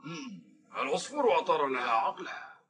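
A gruff old bearded grandfather. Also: on the high side, sing-song, a little fast, a bit bright. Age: older. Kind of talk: Arabic cartoon dub.

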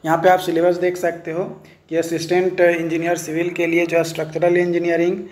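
A man's voice: on the high side, completely flat delivery, brisk, darkish tone. A man, an online instructor informally explaining a document, often repeating filler phrases and mixing in English terms. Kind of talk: informal explainer of a recruitment notice.

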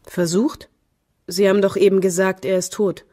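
An actress playing an emotionally detached woman with a fearful streak in a German radio crime drama, with a husky voice. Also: medium pitch, flat delivery, moderate pace, dark tone, natural voice. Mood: cold, detached.

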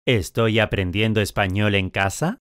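A man speaking clearly articulated drill questions and statements in a language course. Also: fairly low pitch, fairly even intonation, normal speed, a bit bright, no room sound, clean studio sound.

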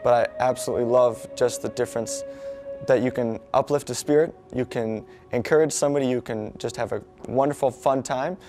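A man's voice: medium pitch, fairly even intonation, a little fast, a little mellow, soft background music. Earnest young man with choir behind. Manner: earnest, heartfelt. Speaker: choir member and theology student. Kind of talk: interview. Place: wood-paneled office.

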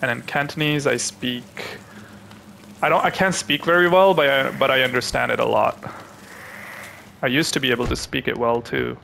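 A young man from Pakistan, hosting a gaming channel, who chats to viewers and thinks aloud as he plays, with excitable reactions. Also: medium pitch, moderate pace, gravelly, pauses often, slight background noise. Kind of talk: live gameplay commentary.

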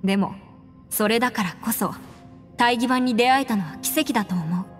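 A confident, clever young woman from a video game, played by an actress. She explains things with assurance and plain tomboyish speech, fairly high, in long reverb over music. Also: normal speed, close to an everyday voice. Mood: earnest.

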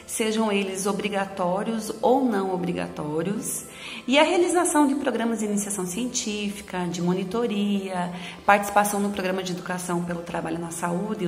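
Woman presenting a pharmacy course, formal and steady, gravelly, echoey room w/ long reverb. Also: mid-range voice. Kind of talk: prepared institutional talk.

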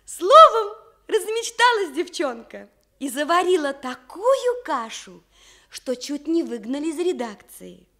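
An actress playing an eager young woman journalist, narrating a Soviet radio drama in an expressive, theatrical way. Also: high-pitched, a little slow, exaggerated voice. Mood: wistful, self-mocking.

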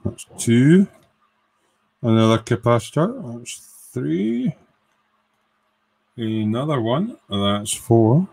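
A man's voice: medium pitch, darkish tone, husky. A man doing a casual live build of an electronics kit, thinking aloud and counting parts in a workshop. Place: workbench in a home workshop.

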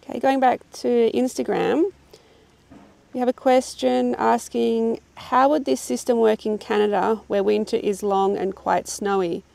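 Lady reading out questions from away from the mic, mellow and really gravelly. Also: a little high, steady tone, unhurried. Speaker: question reader off camera. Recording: off-mic, from a distance.

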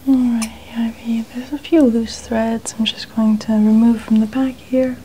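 A young woman does an ASMR stylist roleplay in a soft, breathy whisper. Her voice is mellow and fairly high, gently describing each small action without hurry. There is a noticeable background noise in the room. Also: little pitch movement, normal speed. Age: young adult. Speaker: ASMR host playing a dress stylist. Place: small indoor studio room. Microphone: small mic clipped at chest.

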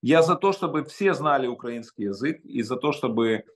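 A man in his fifties from Ukraine, answering interview questions over a video call from a home study, emphatic and halting with many hesitations. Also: mid-range voice, expressive. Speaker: interview guest.

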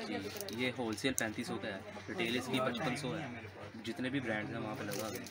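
A man working as a shopkeeper, briskly listing prices and features of his goods. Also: mid-range voice, steady tone. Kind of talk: sales talk. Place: indoor bag shop in a busy market. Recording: loud market crowd behind. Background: loud crowd chatter.

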